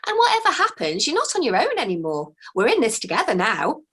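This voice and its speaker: A woman's voice: on the high side, huge pitch swings, a little fast, a bit bright, breathy edge, heavily acted. Lady reading as a cheery reassuring elf lad from a storybook, earnest, bouncy up and down voice. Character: happy-go-lucky, reassuring young male elf.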